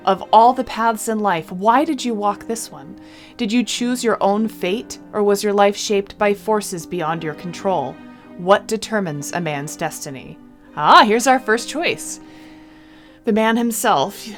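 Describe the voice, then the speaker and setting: A woman doing a let's-play of a visual novel, reading the text aloud expressively and adding casual, chatty comments. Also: mid-range voice. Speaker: game streamer.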